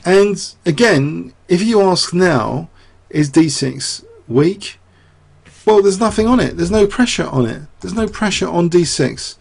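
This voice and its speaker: Gravelly, husky man analyzing chess. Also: high voice, expressive, measured pace. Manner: rambling, thinking aloud with many fillers and rhetorical questions. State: losing his voice. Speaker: chess commentator.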